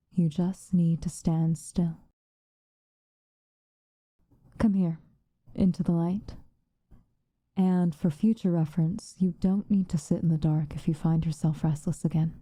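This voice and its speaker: A woman playing a stern but protective witch in an ASMR roleplay, speaking softly and formally, often in a breathy whisper. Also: on the low side, no echo. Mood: stern, caring, then brisk, insistent. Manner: measured, formal, gently commanding.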